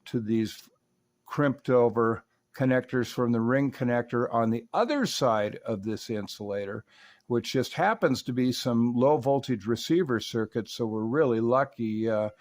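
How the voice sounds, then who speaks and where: A man with a mid-pitched voice narrates a repair tutorial. He sounds like a seasoned hands-on technician, mixing technical detail with folksy, colorful expressions. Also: unhurried, a little mellow. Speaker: radio repair technician and presenter.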